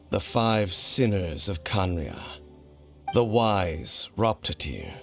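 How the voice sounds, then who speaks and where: Game role: a solemn, age-old wanderer, low-voiced, formal and grave, music underneath. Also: male voice, gravelly, heavily acted. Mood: bitter, solemn. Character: brooding ancient male wanderer, solemn and vengeful. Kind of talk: scripted video game voice acting.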